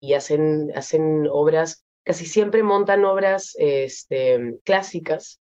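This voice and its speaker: Low, mellow-voiced Peruvian woman. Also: dull audio. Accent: Peruvian Spanish. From Peru. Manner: thoughtful, with many pauses and fillers. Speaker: actress guest. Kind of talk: interview conversation.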